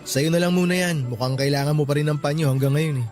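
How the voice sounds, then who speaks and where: A man's voice: fairly high pitch, quick pace, a little mellow, faint music behind, natural voice. A Filipino-accented man acting in a radio drama as a kind, patient young coworker with a polite, reassuring manner. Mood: caring, reassuring. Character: kind, caring young male office coworker, respectful and patient.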